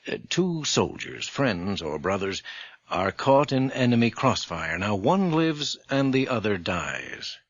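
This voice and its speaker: An actor playing a middle-aged, rational and reassuring psychiatrist in a radio drama, explaining things in a professional way. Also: male voice, fairly low pitch, very animated, no room sound, exaggerated voice. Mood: explanatory. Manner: measured, explanatory, professional, with thoughtful hesitations. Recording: dull, dry radio drama recording.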